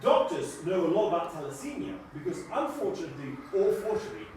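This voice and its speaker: A man's voice: high-pitched, big pitch swings, fast, darkish tone. A man playing a kindly, flustered scientist doctor who explains things emphatically and repetitively, with stammers, in a live children's puppet show. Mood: earnest explaining. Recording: echoey room, distant mic, faint noise.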